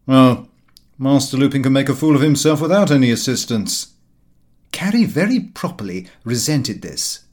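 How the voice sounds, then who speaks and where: Animated male audiobook narrator. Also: mid-range voice. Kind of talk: scripted literary narration of a comic diary.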